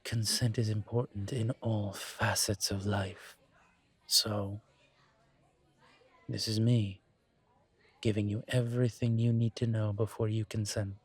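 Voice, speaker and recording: Male actor as calm demon professor, slow and even delivery, considerate, slightly husky, audio roleplay. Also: lowish voice. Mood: gentle, serious. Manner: measured, considerate, hesitant pauses. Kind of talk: scripted audio roleplay, intimate one-sided conversation.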